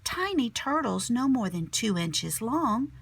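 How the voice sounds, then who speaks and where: A woman teacher reading a children's picture book aloud expressively, sometimes dropping to a hushed tone. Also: a little high, takes it slowly, gravelly, slightly rough, dry recording. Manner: animated storyteller, drops to hushed whispery tones.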